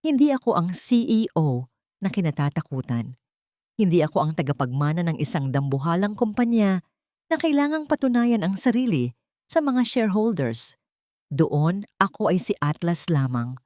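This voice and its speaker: A woman storyteller with a fairly low voice reads a scripted audio drama. Her delivery is emotive and suspenseful, with wide melodic movement. The sound is dry and close, with no room around it. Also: sing-song, normal speed, darkish tone, no room sound.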